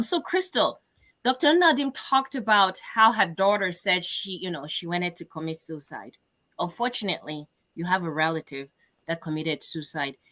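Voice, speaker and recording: A middle-aged woman hosting an online panel, asking questions in a lively, conversational way. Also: mid-range voice. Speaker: webinar host and moderator. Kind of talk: webinar panel discussion.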